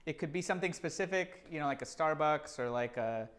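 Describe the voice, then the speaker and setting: A man's voice: on the high side, expressive, a little fast, fairly bright tone. A man performing and hosting a live improv comedy show, playful and fond of repeating a line for laughs.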